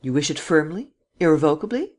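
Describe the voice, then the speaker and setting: A woman's voice: sits low, animated, fairly quick, a little mellow. A woman reading the part of a ruthless, commanding male nobleman in an audiobook, speaking with vehemence. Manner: imperious, vehement.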